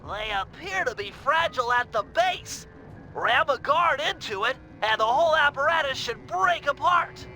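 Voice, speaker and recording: A man's voice: extremely high pitch, animated, normal speed, sharp tone, full character voice, rough recording. A man voicing a brainy, nerdy cartoon turtle from a video game, giving precise, wordy and eager instructions. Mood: polite, excited. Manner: precise, verbose, eager briefing. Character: nerdy, brainy, high-voiced cartoon turtle, the gang's planner. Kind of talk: animated video game acting. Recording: music behind the voice.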